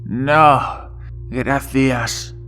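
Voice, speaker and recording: A man playing a wary old man in a horror story, terse and halting. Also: medium pitch, steady tone, fairly bright tone, soft background music. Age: older. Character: wary old man warning of danger in a desert bar.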